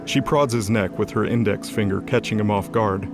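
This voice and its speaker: Low-pitched man narrating a dark drama. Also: moderate pace. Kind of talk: scripted dramatic story narration. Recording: music under the voice. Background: music.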